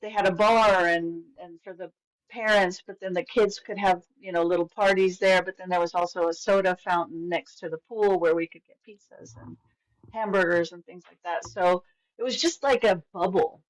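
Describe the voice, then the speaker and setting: Low-voiced Venezuelan-born woman, middle-aged. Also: steady tone, moderate pace, rough recording. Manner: warm, enthusiastic, with frequent restarts and fillers. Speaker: guest. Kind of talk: casual conversational storytelling.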